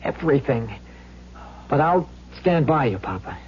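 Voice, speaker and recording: An actor playing a timid, devoted young son who speaks hesitantly and anxiously in a radio drama. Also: male voice, mid-range voice, very animated, a little slow, darkish tone. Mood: frightened. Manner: hesitant, stammering. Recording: muffled old radio recording, faint hum.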